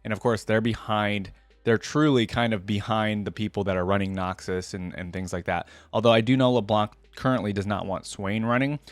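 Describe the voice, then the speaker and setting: A man's voice: fairly low pitch, fairly even intonation, a little fast, no echo. A man hosting a reaction video, moving between informal, rambling commentary and reading text aloud. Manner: informal, rambling, thinking out loud.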